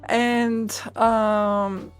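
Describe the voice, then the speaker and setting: A woman's voice: on the high side, flat delivery, extremely slow, smooth, no room sound, faint music behind. A woman in her twenties from Bulgaria, telling personal stories at home in a casual, rambling way full of fillers and restarts. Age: 20s. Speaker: vlogger. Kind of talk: casual personal storytelling to camera.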